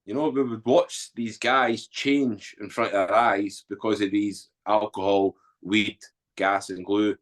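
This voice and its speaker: Man, Edinburgh Scottish accent, forties, low-pitched, blunt and earthy, candid storytelling guest. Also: steady tone, a little slow, hardly any pauses. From Scotland. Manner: blunt, earthy, unguarded talk with frequent ums. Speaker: guest, author and former soldier.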